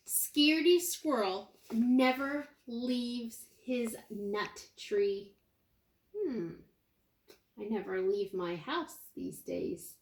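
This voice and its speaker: A woman teacher reading a picture book aloud to young children, expressively, pausing for asides and questions to her listeners. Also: a little high, creaky. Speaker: kindergarten teacher. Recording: roomy, echoey indoor sound.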